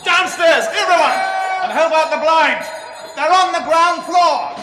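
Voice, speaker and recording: An actor playing a panicked man shouting urgent orders in a radio drama. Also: very high-pitched, unhurried, crowd noise, background noise. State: shouting, coughing. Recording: echoey space with clear music behind.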